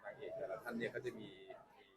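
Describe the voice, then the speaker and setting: A man, a music boss, giving a casual press interview in a halting way, repeating words, with a crowd around him. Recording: busy crowd chatter behind.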